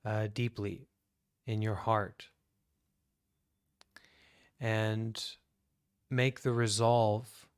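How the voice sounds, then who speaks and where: Slow-paced, smooth-voiced American man guiding meditation. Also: fairly low pitch, no room sound, studio quality. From United States. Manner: calm, deliberate, many pauses. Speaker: Buddhist monk leading guided meditation. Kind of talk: guided meditation talk.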